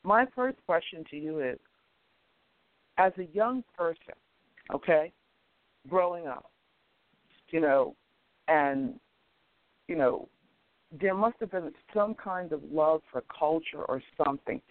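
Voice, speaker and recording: A male host with a hoarse voice, apologizing and rambling as he runs a casual call-in talk show. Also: a little high, very animated, slow pace, long pauses, dry recording. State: hoarse, lost his voice. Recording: tinny phone line.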